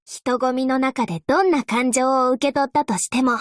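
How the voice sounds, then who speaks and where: A woman playing an upbeat, playful young angel courier girl in a Japanese anime game, with a perky, teasing, expressive delivery. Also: sits high, normal speed, dry recording, studio quality, lightly acted. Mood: cheerful, earnest.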